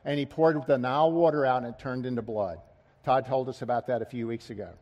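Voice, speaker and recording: Middle-aged male preacher, somewhat high voice, expository sermon with rhetorical questions. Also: a little mellow. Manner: asks the congregation rhetorical questions, rising emphasis.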